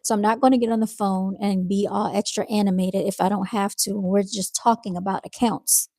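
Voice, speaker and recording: A woman with a soft-spoken, soothing voice who talks in a calm, unhurried way, hosting a casual live chat with her viewers. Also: mid-range voice, creaky, no echo, clean studio sound. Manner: calm and unhurried, with fillers and restarts. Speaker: live-stream host reading viewer comments.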